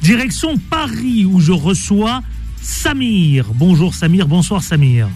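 Radio phone-in host, male, high voice, brisk studio delivery with faint music bed. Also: sing-song, darkish tone. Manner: brisk, announces times and phone number, prods callers. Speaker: radio talk show host. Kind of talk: live radio phone-in hosting. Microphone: studio microphone.

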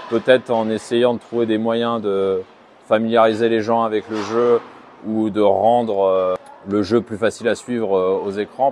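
French guy with a lowish voice, calm, lots of euhs, a bit of room noise. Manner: calm, hesitant, many fillers. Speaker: hockey player. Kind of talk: interview answers.

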